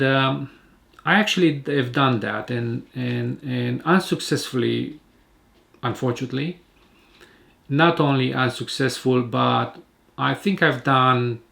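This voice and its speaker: A man speaking calmly and hesitantly about his recovery from eye surgery, with many fillers and restarts. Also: mid-range voice, creaky. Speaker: patient sharing his surgery experience. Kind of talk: casual spoken vlog. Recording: home video recording.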